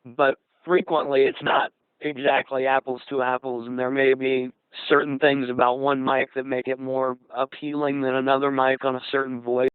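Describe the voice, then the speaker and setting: A man speaking as a panel guest in a measured conversational way, carefully qualifying his points, heard through a narrow radio transmission. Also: medium pitch, flat delivery, smooth, no echo.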